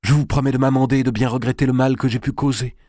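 A man reading an audiobook, voicing an anguished, hot-tempered young medieval king with a high voice that turns shrill in anger. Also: steady tone, quick pace, mellow, dry recording, clean studio sound, lightly acted. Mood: pleading, tender. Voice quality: high, shrill when angry. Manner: pleading, then bursting into rage.

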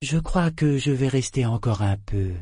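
A calm and sincere woman in an audiobook, read by a male narrator. She speaks tranquilly. The recording is dry, without room sound. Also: mid-range voice, a little slow, no room sound, close to an everyday voice. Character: calm, quietly sincere woman offering help. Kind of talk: audiobook character dialogue.

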